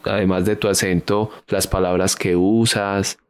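A man's voice: sits low, flat delivery, unhurried, clean tone. A man hosting a podcast conversation, asking curious questions and explaining things to his guest. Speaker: podcast host. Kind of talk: casual interview conversation.

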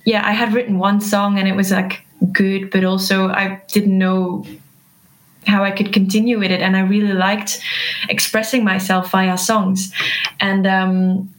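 A young woman from Belgium speaks English as a second language, her first being Dutch. She talks softly and hesitantly in a casual interview, often breaking into laughter. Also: mid-range voice, level tone, a little slow, rough recording. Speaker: musician guest.

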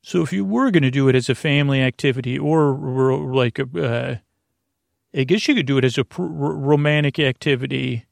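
Gravelly, rambling man hosting a sleep podcast. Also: medium pitch, no room sound, studio quality. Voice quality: gravelly, creaky voice. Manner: halting, stammering, trails off and loses his thread. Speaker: host of a bedtime sleep podcast. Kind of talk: rambling, meandering monologue to lull listeners to sleep.

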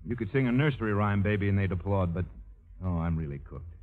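An actor playing a wisecracking, bitter songwriter husband, sardonic and self-pitying, in 1950s radio drama style. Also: male voice, lowish voice, lively intonation, fast talker. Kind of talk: 1950s radio drama acting and wry narration. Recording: muffled, tinny 1950s radio recording, faint music.

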